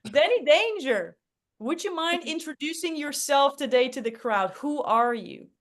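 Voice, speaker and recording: A woman's voice: sits high, expressive, unhurried. A woman facilitating an interactive workshop in an encouraging, reassuring way.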